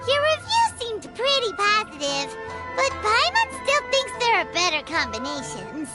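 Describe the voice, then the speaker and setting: A woman voicing a small, childlike, excitable fairy companion in a video game, with a blunt, incredulous manner. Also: very high voice, normal speed, over music, full character voice. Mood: cheerful, chatty. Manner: blunt, incredulous, speaks of herself in third person. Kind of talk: video game character acting.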